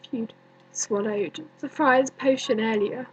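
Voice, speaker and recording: A woman performing a dramatic stage monologue as a bitter, grieving young woman, deliberate and accusing, with many pauses. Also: on the high side, fairly even intonation, slightly rough, rough recording. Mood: resentful, accusing. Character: bitter grieving young woman haunted by her dead cousin.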